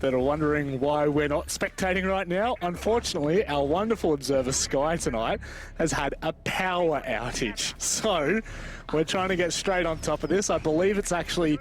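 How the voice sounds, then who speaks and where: A man doing live play-by-play esports commentary in an expressive, exclamatory way with joking asides. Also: on the high side, normal speed.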